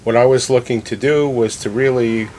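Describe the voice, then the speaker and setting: A man's voice: fairly even intonation, normal speed, mellow. A man answering interview questions conversationally, explaining his points and repeating phrases for emphasis. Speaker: loudspeaker company president, interview guest. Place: listening room in a home. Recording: clear background noise with low rumble.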